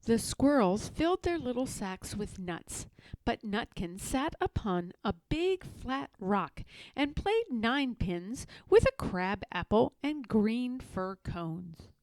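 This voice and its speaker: A woman reading a children's story aloud as an expressive narrator. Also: on the high side, takes it slowly, no echo. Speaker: storybook reader.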